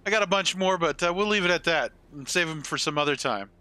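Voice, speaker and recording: A man's voice: a little high, fast, pushed and bright, clean tone. A man in his forties chatting casually and rambling with some salty swearing as he streams a game. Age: 40s. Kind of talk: casual live-stream chat with gameplay commentary.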